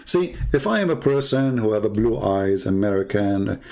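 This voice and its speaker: Gravelly Arabic-accented man challenging callers. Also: fairly low pitch, animated, moderate pace, darkish tone. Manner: challenging, taunting, inviting callers. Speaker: online debate host. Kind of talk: live-streamed religious debate talk.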